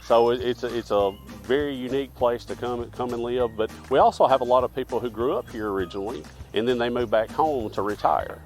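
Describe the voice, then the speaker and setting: A man answers interview questions outdoors in a park. He is friendly and often restarts his phrases. His voice is mid-pitched, and faint music plays under it. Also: fairly quick, hardly any pauses, a little mellow. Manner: friendly, repeats words when starting a phrase. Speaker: town mayor.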